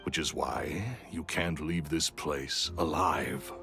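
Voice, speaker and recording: An actor playing a bitter, menacing magician villain in a video game, sneering and theatrical. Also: male voice, a bass voice, slow, creaky, sharp tone, heavily acted. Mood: cold menace. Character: bitter, menacing male villain, resentful magician turned murderer. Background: background music.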